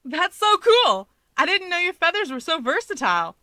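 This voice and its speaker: A woman voicing a friendly, polite and excitable teenage boy hero in a story reading. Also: extremely high pitch, normal speed, no room sound, clean studio sound, pitched above the performer's own voice, exaggerated voice. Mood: excited. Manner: eager, polite.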